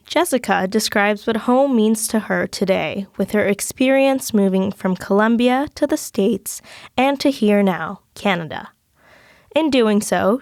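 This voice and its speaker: A young woman, a student host, reading a scripted podcast introduction in a measured way. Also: mid-range voice, dry recording, studio quality. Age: young adult.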